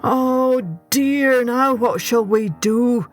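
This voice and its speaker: A woman's voice: fairly high pitch, steady tone, extremely slow, faint music behind, voice pushed up. A woman voicing a fussy, fretful male baker in an exclamatory, sputtering storybook manner. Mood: dismayed.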